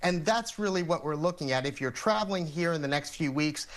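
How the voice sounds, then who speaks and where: An American man speaking as an expert guest in a TV news interview, explaining things in a measured, methodical way. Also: a little high, animated, a bit bright.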